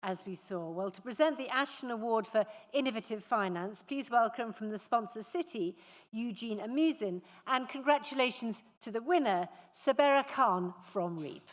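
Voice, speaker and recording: A woman's voice: a little high, expressive, normal speed. A woman presenting live as the host of an awards ceremony. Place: large indoor ceremony hall. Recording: echoey hall with long reverb.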